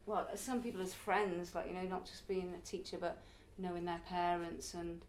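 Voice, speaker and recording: A woman's voice: lowish voice, flat delivery, moderate pace, slight background noise, rough recording. A woman in her early forties, a circus performer and youth circus teacher, answering interview questions in a chatty, reflective way. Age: early 40s. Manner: chatty, reflective, laughs now and then.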